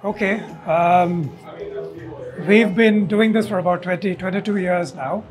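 Man, 60s, high voice, halting technical explainer in interview, music behind. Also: fairly even intonation. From India. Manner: halting, explanatory, tags statements with "okay". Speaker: loudspeaker company owner and designer, interviewee. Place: hotel corridor at an audio show. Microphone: lapel mic.

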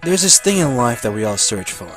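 A young man voicing a bitter, foul-mouthed high school boy who rants sarcastically in an anime parody dub. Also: sing-song, a little fast, close to an everyday voice. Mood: sullen narrating. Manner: ranting, sarcastic. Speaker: narrator and lead character. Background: background music.

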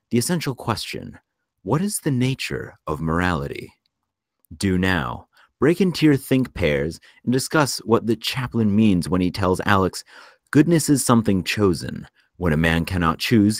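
A man narrating a screenplay at a table read in a measured storytelling delivery. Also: deep voice, no echo, clean studio sound. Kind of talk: scripted narration of stage directions.

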